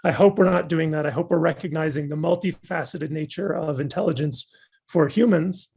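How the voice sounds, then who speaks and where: Male guest lecturer, voice going hoarse, husky and fairly high-pitched, eager and reflective. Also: a little fast, darkish tone. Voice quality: gravelly and husky. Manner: thoughtful, enthusiastic academic explaining. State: losing his voice. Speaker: guest speaker. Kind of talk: answering audience questions after a talk.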